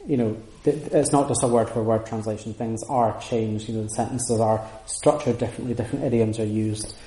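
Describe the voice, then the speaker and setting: A man's voice: fairly low pitch, fairly even intonation, brisk, dark tone. Male lecturer in an echoey room. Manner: thoughtful, many restarts and hedging fillers. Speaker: lecturer on Sanskrit texts. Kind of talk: informal lecture with audience questions. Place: room with audience.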